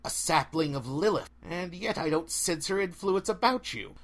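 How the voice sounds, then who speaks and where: A man's voice: fairly high pitch, lively intonation, normal speed, a bit bright. A man voicing a haughty, curious female forest guardian in an audiobook, stately and formal in manner. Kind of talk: audiobook character voice.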